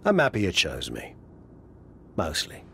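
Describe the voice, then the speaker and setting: Jokey wrestling pal, extremely swooping intonation, scheming, casual, game cutscene, faint ambience. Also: male voice, sits low, wildly swooping pitch, measured pace, creaky, a bit bright, slight background noise, exaggerated voice. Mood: casual coaxing. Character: playful, scheming male wrestling buddy. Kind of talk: video game cutscene acting.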